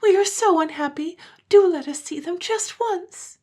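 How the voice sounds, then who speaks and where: A woman voicing a group of young children who cry out and beg in high, breathless voices, in a storybook reading. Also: a little slow, a little mellow. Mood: desperate. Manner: high, breathless, pleading cries.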